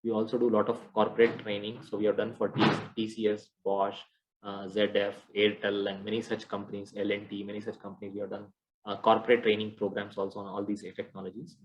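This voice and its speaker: A man's voice: fairly low pitch, monotone, quick pace, lo-fi sound, noisy background. A man with an Indian English accent giving an interactive lesson as a technology trainer, explaining step by step and often checking in with the listeners. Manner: explanatory, checks in with "right?" and "okay". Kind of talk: interactive classroom lecture.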